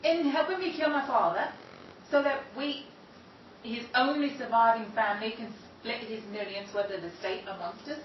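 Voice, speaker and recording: An actress playing a bitter, estranged daughter in a 1930s-style radio drama, with sharp, indignant retorts. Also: female voice, fairly high pitch, moderate pace, echoey room, recorded from a distance, dull audio, a little hiss or hum, close to an everyday voice. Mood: anxious.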